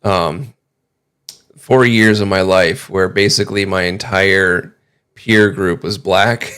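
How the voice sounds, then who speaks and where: A man with a fairly low, clean voice hosts a livestream. He talks casually and takes his time, rambling with halting pauses and an amused manner. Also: fairly even intonation, bright, forceful tone, smooth. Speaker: livestream host reacting to videos.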